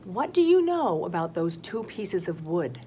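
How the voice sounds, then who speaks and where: A woman teaching first graders in a recorded lesson, talking slowly in a sing-song way to the children, with a loud voice. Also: fairly low pitch, sing-song, slight background noise.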